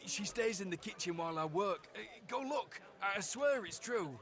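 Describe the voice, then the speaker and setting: The high, anxious voice of a desperate pauper in a fantasy video game, pleading earnestly to be believed. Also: male voice, sing-song, a little slow, vocal fry, pushed and bright, exaggerated voice. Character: nervous, pleading poor man, desperately defending himself. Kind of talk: dramatic game voice acting.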